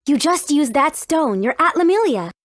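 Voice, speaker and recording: Young game heroine, spirited, determined, high voice, dry studio sound. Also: female voice, very animated, normal speed, dry recording. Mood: confident. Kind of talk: video game character acting.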